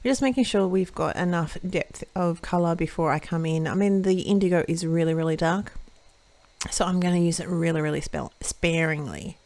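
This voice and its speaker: A woman hosting a watercolour card-making tutorial, chatting through each step as she paints and often correcting herself mid-sentence, her voice a little croaky. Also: on the low side, moderate pace, a little mellow, no echo. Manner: chatty, self-correcting, thinks aloud while painting. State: slightly croaky voice. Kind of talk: casual step-by-step craft demonstration.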